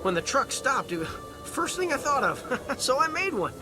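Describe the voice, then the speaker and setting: A man's voice: very high voice, big pitch swings, fairly quick, over music. An actor playing an easygoing, whimsical, joking man with a breezy, playful delivery. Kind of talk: acted audio drama dialogue.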